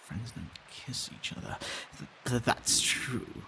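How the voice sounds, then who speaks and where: A man playing a protective, tender vampire boyfriend in an intimate ASMR roleplay, hushed and halting, often slipping into whispers and sighs. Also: medium pitch, vocal fry, noisy background. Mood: shy, tender.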